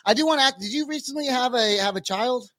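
High, bright-voiced man hosting. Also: fast talker, no room sound. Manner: informal, slangy, swears. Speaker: podcast host. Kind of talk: casual interview chat.

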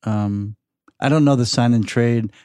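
Mellow older man chatting about sports. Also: mid-range voice, measured pace, clear, resonant tone, no room sound, studio quality. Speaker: podcast guest, the host's father. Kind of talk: casual sports chat.

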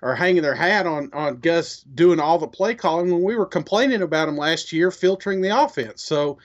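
A man with a fairly high voice chats casually as a sports podcast co-host. The recording is a little dull and lo-fi. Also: brisk. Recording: slightly dull, lo-fi microphone sound.

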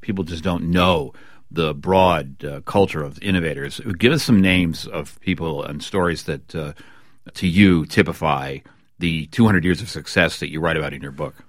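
A man's voice: a bass voice, normal speed, no room sound, clean studio sound. A man hosting a broadcast interview, putting questions and comments to guests. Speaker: interview host.

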